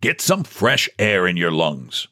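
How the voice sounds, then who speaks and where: A man voicing a smooth, condescending middle-aged bank president who speaks in an easy, patronizing way, for a storybook reading. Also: low voice, big pitch swings, takes it slowly, pushed and bright, no echo, clean studio sound, exaggerated voice.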